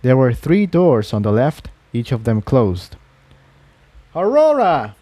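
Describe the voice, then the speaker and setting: A man's voice: medium pitch, animated, a little slow, mellow, no echo. A man reading scripted fantasy fiction as an audiobook narrator.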